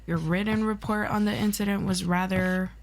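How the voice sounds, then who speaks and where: A woman playing a formal, stern agency interrogator in an ASMR audio roleplay, questioning a former hero in a measured, clipped way. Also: medium pitch, fairly even intonation, close to an everyday voice. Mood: cool, probing.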